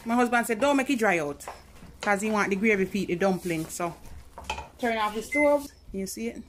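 A woman's voice: medium pitch, animated, normal speed. Jamaican woman chatting over a sizzling pan. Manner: chatty, keeps calling to viewers. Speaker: cooking vlogger. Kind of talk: casual cooking demonstration. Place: home kitchen at the stove. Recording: sizzling pan and kitchen noise behind.

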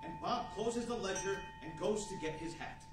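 A man's voice: high voice, normal speed, music in the background, lightly acted. A man playing a theatrical, wry 1940s radio announcer and storyteller on stage. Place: large indoor meeting room with seated audience. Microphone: far camera. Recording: very echoey room from far off, faint noise.

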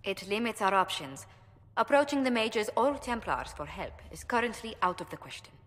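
An actress playing a poised female ambassador who speaks in a gracious, measured, formal way. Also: mid-range voice, lively intonation, fairly bright tone, big-room sound, close to an everyday voice. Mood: composed. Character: poised female court ambassador, polite and diplomatic.

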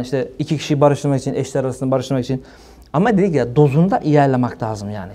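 A man gives an informal religious sermon in a colloquial, animated way, asking rhetorical questions. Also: on the high side. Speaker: preacher. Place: small room indoors.

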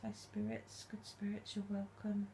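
A woman vlogging at night from her dark bedroom, speaking softly and calling out to spirits, her voice a little stuffed up from hay fever. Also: mid-range voice, monotone, measured pace, gravelly, slight background noise. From England. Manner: hushed, soft-spoken, calling out to spirits. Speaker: paranormal vlogger. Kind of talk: quiet late-night ghost hunting vlog.

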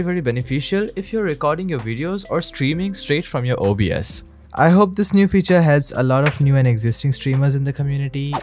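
A man presents a step-by-step tech tutorial as a voice-over, speaking at a medium pitch. He addresses viewers directly in an upbeat, instructional way. Music plays clearly behind his voice. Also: darkish tone. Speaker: YouTube tech tutorial host. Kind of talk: scripted software tutorial voice-over. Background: background music.

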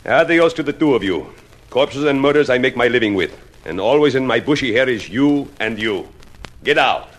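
An actor playing a weary, formal police inspector with a Spanish accent and florid phrasing in a 1950s radio drama. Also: male voice, mid-range voice, expressive, moderate pace, natural voice. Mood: exasperated. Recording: noisy 1950s radio recording.

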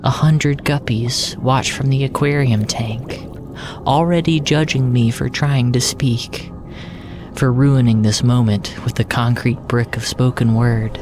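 A man narrating a scripted audio drama in a solemn, deliberate storytelling manner. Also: mid-range voice, fairly even intonation. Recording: echoey, with music underneath. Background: music.

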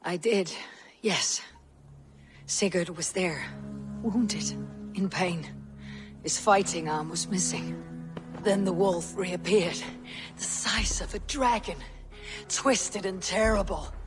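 A woman voicing a proud, defiant young female Viking warrior in a video game, speaking gravely in formal, deliberate phrases. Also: lowish voice, music in the background. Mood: troubled, recalling. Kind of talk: dramatic video game cutscene acting.

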